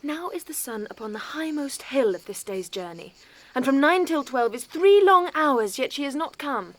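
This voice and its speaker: Impetuous lovestruck girl, high and swooping. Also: animated, normal speed, dry recording, rough recording. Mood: impatient musing. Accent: British English. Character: Juliet, lovestruck impatient teenage girl, eager and impetuous. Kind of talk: classical Shakespearean audio drama acting.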